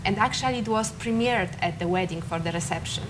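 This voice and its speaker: A woman's voice: mid-range voice, quick pace, noisy background. Woman guest musician. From Poland. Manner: explains calmly, slightly non-native phrasing. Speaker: pianist, guest. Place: TV studio.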